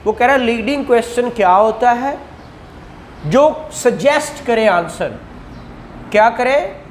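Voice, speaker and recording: A man's voice: extremely high pitch, expressive, slow pace, a little hiss or hum. A man, teaching a law class and explaining points with rhetorical questions and acted examples. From Pakistan. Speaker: law lecturer. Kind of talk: classroom lecture.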